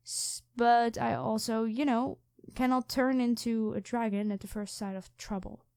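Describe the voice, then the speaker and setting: Mellow, high-voiced regal dragon queen played by a woman. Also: no echo, clean studio sound, natural voice. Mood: commanding, condescending. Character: imperious, calmly threatening dragon queen in human form. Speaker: voice actor in an audio roleplay. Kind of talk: ASMR roleplay monologue addressed to the listener.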